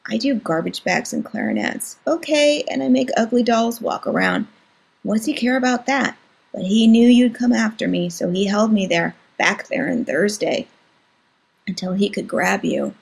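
A woman voicing an earnest, timid young boy who speaks quietly and slowly to his older sister. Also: mid-range voice, animated, gravelly, husky, low-quality recording.

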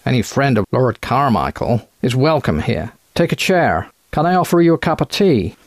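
A man's voice: mid-range voice, sing-song, moderate pace, dry recording, voice pushed up. A man voicing an eager, chatty American physician with a quacking voice and folksy, clipped phrases in an audiobook. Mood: eager, welcoming. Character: thin, eager American physician running a sanitarium.

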